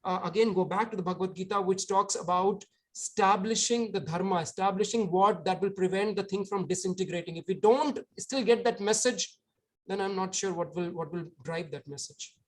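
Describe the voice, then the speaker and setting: A man's voice: high voice, fairly quick, poor audio. A man, an author, answering audience questions at an online book talk, earnest and explanatory, often repeating words as he thinks.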